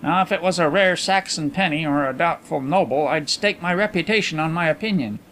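A man's voice: a little high, brisk, a bit bright, slight background noise, voice pushed up, close to an everyday voice. A man voicing a genial, shrewd middle-aged male coin dealer who chats in an easygoing, knowing way. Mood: candid.

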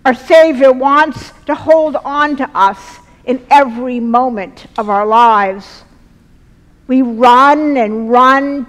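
A middle-aged woman preaching a sermon from a lectern in a large church, speaking earnestly in slow, deliberate phrases. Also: a little high, a bit bright. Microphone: lectern mic, far camera. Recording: long church reverb.

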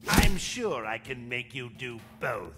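A man's voice: mid-range voice, big pitch swings, unhurried, creaky, over loud music. A man playing a gleeful, sadistic clown villain in a video game, theatrical and taunting.

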